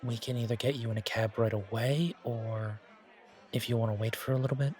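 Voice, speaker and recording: A man's voice: medium pitch, steady tone, brisk, darkish tone, lightly acted. A man playing a friendly, reassuring bartender in a casual, naturalistic audio roleplay, with a chatty and slightly hesitant delivery. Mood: concerned, reassuring. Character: friendly, reassuring male bartender at a supernatural bar. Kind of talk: casual, naturalistic one-sided roleplay acting. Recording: crowd chatter behind voice. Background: bar crowd chatter.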